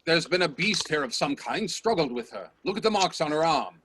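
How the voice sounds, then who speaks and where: An actor playing a bold, probing scientist and time traveller in a radio play, speaking in an assertive, professorial way. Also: male voice, on the high side, lively intonation, fairly quick, fairly bright tone. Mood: urgent. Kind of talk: radio drama acting. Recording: noisy video-call audio with clicks.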